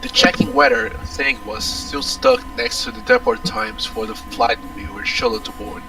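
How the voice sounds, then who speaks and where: A man's voice: high-pitched, huge pitch swings, normal speed, creaky, far from the mic, music in the background, rough recording. A Brazilian man, a native Portuguese speaker, reading narration aloud with casual asides and some stumbles. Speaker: reader in a group visual novel stream.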